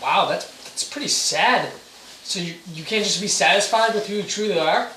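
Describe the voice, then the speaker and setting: A man's voice: sits high, moderate pace, pushed and bright. A young man playing an uneasy, evasive regular guy with a hesitant, casual delivery in an amateur stage play. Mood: irritated. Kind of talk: amateur one-act stage play dialogue. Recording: echoey room, distant mic, hissy noise.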